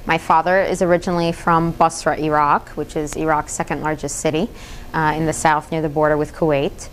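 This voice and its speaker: A woman's voice: low-pitched, level tone, a little fast, slight background noise. A middle-aged woman from the United States giving a public lecture in an impassioned, direct way. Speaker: speaker.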